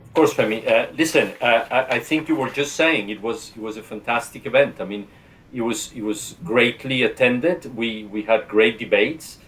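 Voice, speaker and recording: Man from Chile, mid-range voice, conference co-host, conversational, halting, repeated words. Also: medium pitch, fairly bright tone. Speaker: transport director, conference co-host. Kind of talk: conversational panel remarks. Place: video call.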